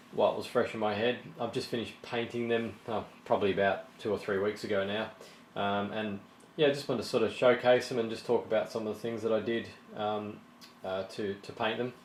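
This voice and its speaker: A man with a slightly croaky voice, casually showing his painted miniatures and explaining each step with plenty of ums and restarts. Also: on the low side, slight background noise, rough recording. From Australia. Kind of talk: casual show-and-tell vlog.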